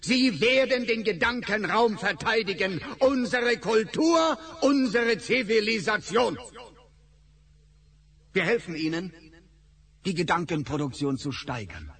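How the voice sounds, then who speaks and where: A high-pitched male announcer rallies the public with grand, declamatory phrases. His delivery is solemn and ceremonial, like an official broadcast in a radio drama. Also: lively intonation, unhurried, fairly bright tone, full character voice. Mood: rousing. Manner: solemn, declamatory rallying speech. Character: male government announcer making rousing propaganda address. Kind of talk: radio play acting.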